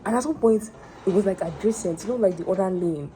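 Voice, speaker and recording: A woman with a Nigerian accent rambling casually in a personal vlog, excitable and playful, repeating words for emphasis, over a noisy recording. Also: mid-range voice, fast. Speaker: solo animator and showrunner.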